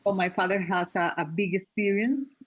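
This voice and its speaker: A woman speaks careful, halting English, pausing to find her words. Her voice sits at a middle pitch for a woman. The call audio comes through dull and lo-fi. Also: slightly rough. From Venezuela. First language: Spanish. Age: 60s. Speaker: meditation group participant. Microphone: video call.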